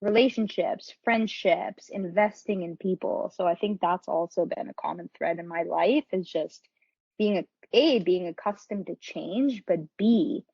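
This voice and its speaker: A woman talks as an interview guest in a reflective, conversational way. Her pitch is a little low for a woman. The recording is very dry and slightly dull, with no room sound. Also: unhurried, gravelly, darkish tone, husky, no room sound. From born in Pakistan, grew up in several countries. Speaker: podcast guest, marketing professional.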